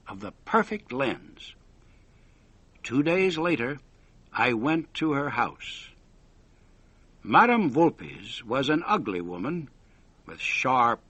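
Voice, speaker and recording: A man's voice: a little high, fairly bright tone, husky, no room sound. A man narrating a scripted story for English learners, with dramatic, careful phrasing.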